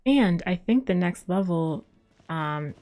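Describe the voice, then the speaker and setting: A woman's voice: fairly low pitch, animated, takes it slowly, warm tone. A woman streaming a video game, giving casual live commentary as she plays. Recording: game music behind the voice.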